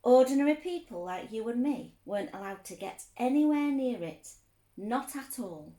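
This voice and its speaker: Woman, children's storyteller, lively swooping intonation, simple teacherly explanations, roomy echoey sound. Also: a little high, moderate pace. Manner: expressive, explains things simply for young listeners. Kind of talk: children's storytelling, read aloud with asides.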